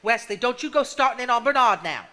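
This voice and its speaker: Woman acting a bright, forthright sister. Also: on the high side, lively intonation, quick pace. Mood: scolding. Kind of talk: live stage play acting. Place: theater stage before a live audience.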